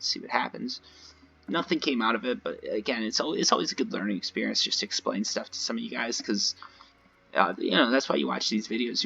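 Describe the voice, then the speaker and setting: A man with a slightly hoarse voice gives casual, rambling gameplay commentary, talking directly to his viewers in a conversational way. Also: medium pitch, bright, forceful tone, lo-fi sound. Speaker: gaming video creator. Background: voice-over recorded over gameplay.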